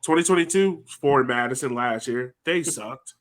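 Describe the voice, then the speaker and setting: A man's voice: mid-range voice, moderate pace. Man laughing while reading off stats. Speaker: podcast co-host. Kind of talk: casual chat.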